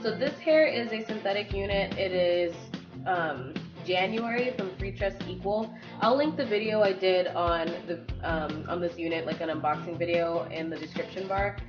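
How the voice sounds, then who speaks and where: A woman's voice: on the low side, moderate pace. Congested young woman chatting over music. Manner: chatty, filler-heavy, rambling. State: congested from a cold. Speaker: beauty vlogger. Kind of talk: casual hair tutorial. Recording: dull lo-fi sound with background music.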